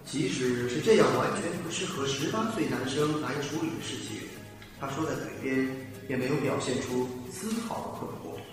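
A man's voice: medium pitch, normal speed. A man playing a teenage schoolboy's puzzled inner thoughts, with reverb, as a radio drama monologue. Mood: pondering. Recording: distant, heavily echoing reverb with music.

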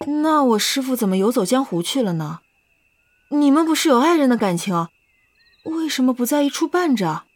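A woman's voice: sits high, sing-song, moderate pace, a little mellow. A woman acting a naive, curious young female doctor who dresses as a man, in a Chinese costume audio drama. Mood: startled, puzzled. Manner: wide-eyed, hesitant when startled.

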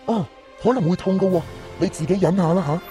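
A smug thief played by a man taunts in with a fairly high voice. The villain sneers theatrically in radio-drama style, with music underneath. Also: fast, lightly acted. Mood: smug taunting. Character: smug, mocking master thief in disguise, taunting captives.

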